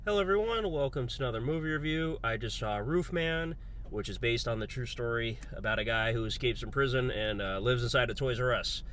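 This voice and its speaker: A man's voice: medium pitch, fairly quick, a little hiss or hum. Congested man chatting a movie review. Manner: relaxed, rambling, lots of fillers. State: congested, under the weather. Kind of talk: casual spoken movie review.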